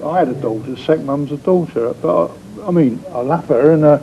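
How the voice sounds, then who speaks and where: A father answers hesitantly as a talk show guest, his voice gravelly and rather high. The TV recording is dull and lo-fi. A faint rumble runs underneath. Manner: hesitant, halting.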